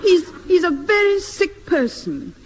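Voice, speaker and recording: A woman's voice: very high voice, sing-song, unhurried, heavily acted. An actress playing a doting, earnest woman, easily upset but able to turn bossy, in 1950s radio sitcom style. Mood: anxious. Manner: earnest, melodramatic. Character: doting, tearful woman who turns bossy when deceived. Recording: reverberant old radio recording, faint noise.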